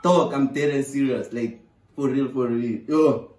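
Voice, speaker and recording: A young South African man talks in loose street slang, pleading and exasperated as if on a call to a friend. His pitch is average for a man. He sounds a little way from the mic in a small, echoey bedroom. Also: unhurried. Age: 20s. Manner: animated, exasperated, pleading, swearing. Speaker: prankster. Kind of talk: casual slangy prank phone call. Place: small bare bedroom indoors. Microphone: camera a short distance away. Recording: roomy echo, distant from mic.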